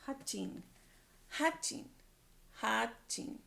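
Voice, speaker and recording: A woman's voice: fairly high pitch, expressive, drawn-out words, creaky, bright, forceful tone. A woman teacher reading aloud to young children, using simple words, repetition and questions. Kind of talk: animated read-aloud lesson.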